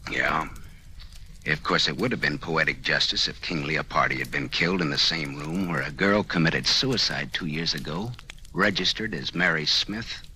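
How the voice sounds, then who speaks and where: An actor playing a sardonic, slangy, tough private detective in an old-time radio drama. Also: male voice, extremely low pitch, moderate pace, pushed and bright, exaggerated voice. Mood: accusing, sardonic. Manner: sardonic, slangy, hard-boiled delivery. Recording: noisy vintage radio recording.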